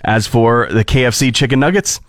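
A man's voice: medium pitch, fairly quick, dry recording, studio quality. A man in his thirties with a Canadian accent, hosting an entertainment podcast in a wry, conversational style. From Alberta, Canada. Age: 30s. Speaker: podcast host. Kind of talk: casual entertainment news and chat.

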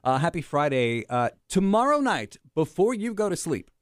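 Male radio host, 40s, lively up-and-down delivery, slightly high, dry studio sound. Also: dry recording. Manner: jokey, animated, quick asides. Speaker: radio morning show host. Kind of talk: casual radio banter. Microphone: studio microphone.

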